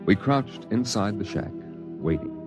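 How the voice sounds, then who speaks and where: An actor playing a grown man recalling his frontier boyhood, narrating in a measured, reflective way, on a vintage radio recording. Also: on the low side, animated. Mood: tense. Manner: measured, descriptive storytelling. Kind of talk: scripted first-person radio drama narration. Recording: dull vintage radio recording, music underneath. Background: music.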